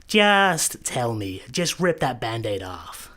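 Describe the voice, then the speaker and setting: An actor with a Scottish accent playing an excitable, exasperated spaceship captain in a sci-fi comedy radio play. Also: male voice, medium pitch, takes it slowly, full character voice. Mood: impatient, eager. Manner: exclamatory, griping.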